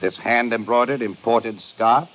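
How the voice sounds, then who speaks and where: An actor playing a commanding police inspector who questions pointedly, in an old-time radio detective drama. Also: male voice, mid-range voice, unhurried, close to an everyday voice. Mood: probing. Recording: muffled, noisy old radio recording.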